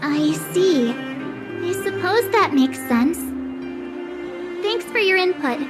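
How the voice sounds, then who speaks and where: A young girl adventurer from a video game, earnest and full of energy. Her voice sits extremely high, with music playing clearly underneath. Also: expressive, slow, full character voice. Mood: thoughtful. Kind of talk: game cutscene voice acting.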